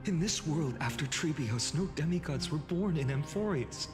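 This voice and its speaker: A man voicing a weary, sorrowful, quietly wrathful hero in a dramatic video game monologue, solemn and deliberate. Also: a little high, animated, lightly acted. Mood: accusing, intense. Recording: echoey long reverb, music underneath. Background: dramatic game music.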